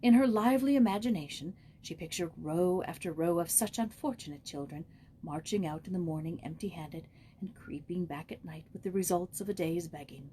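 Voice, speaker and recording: A woman reading a children's story aloud in expressive, scripted narration as an audiobook reader. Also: fairly low pitch, fairly quick, slight background noise.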